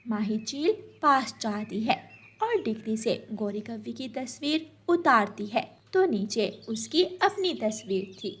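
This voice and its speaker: A woman's voice: on the high side, animated, measured pace, slightly rough. A woman narrating a children's cartoon story.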